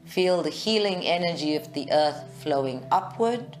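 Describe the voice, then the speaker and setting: A woman guiding a live meditation in a measured, soothing way, with long pauses. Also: sits low, animated, soft background music. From South Africa. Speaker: meditation guide. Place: group meditation session at a centre, recorded live.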